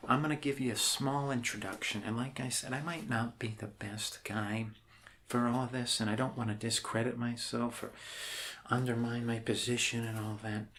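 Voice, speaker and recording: A man doing an improvised, soft-spoken ASMR roleplay as an awkward, reassuring doctor, rambling hesitantly and apologetically. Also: medium pitch, steady tone, normal speed. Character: awkward, unconventional, reassuring doctor welcoming an alien. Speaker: ASMR performer playing a doctor. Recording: clear background noise.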